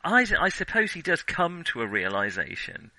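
A man's voice: on the high side, wildly swooping pitch, moderate pace, bright, forceful tone, no room sound, rough recording. A man co-hosting a podcast, talking casually about films in a hesitant, digressive way as he thinks aloud. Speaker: podcast co-host. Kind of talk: casual film discussion.

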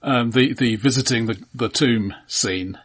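Husky-voiced man discussing film. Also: medium pitch, measured pace. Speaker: film podcast co-host.

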